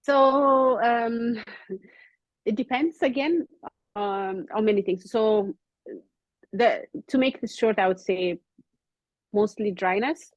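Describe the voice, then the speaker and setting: A woman from Ethiopia, a company founder, explaining hair care in an earnest way, often pausing with ums, over a video call. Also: fairly high pitch, no echo. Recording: dull, slightly lo-fi call audio.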